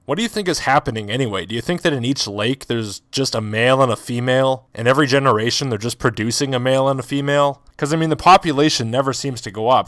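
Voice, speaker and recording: A man's voice: mid-range voice, fairly bright tone, no room sound, studio quality, close to an everyday voice. A man voicing a sarcastic, skeptical male scientist who fires off one argumentative rebuttal after another. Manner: argumentative, rapid-fire rebuttals.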